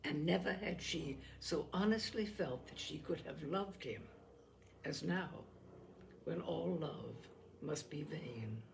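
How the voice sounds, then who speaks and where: A woman reading literary fiction as an audiobook narrator, with measured, dramatic phrasing and expressive delivery. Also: deep voice, gravelly, slight background noise.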